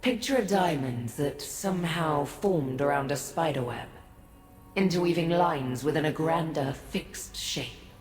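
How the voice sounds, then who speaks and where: An actress playing an ancient, aloof godlike being in a fantasy game, speaking formally and with haughty, cryptic condescension. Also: female voice, sits low, soft background music. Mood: aloof, intrigued. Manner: measured, formal, condescending to mortals. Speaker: video game character. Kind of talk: scripted fantasy game dialogue. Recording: echoey, long reverb.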